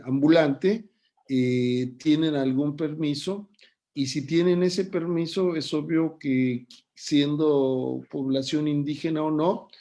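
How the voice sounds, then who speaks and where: Slow, deliberate man moderating. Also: medium pitch, mellow. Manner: measured, deliberate, pauses with fillers. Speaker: host and moderator. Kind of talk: moderating an online lecture.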